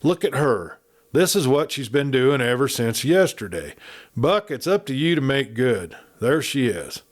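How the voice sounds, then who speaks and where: A man voicing a big male outlaw leader who gives orders in a gruff, commanding way. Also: medium pitch, sing-song, measured pace.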